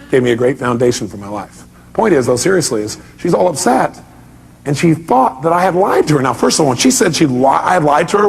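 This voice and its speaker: A man's voice: high-pitched, vocal fry. A man speaking as a motivational seminar leader, emphatic, putting rhetorical questions to his listeners, repeating key points and acting out reactions.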